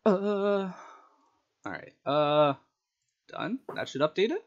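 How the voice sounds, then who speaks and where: A man's voice: high voice, sing-song, slow, dull audio. A man streaming online, who reads his own stories aloud and chats casually. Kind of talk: story narration and casual livestream chat.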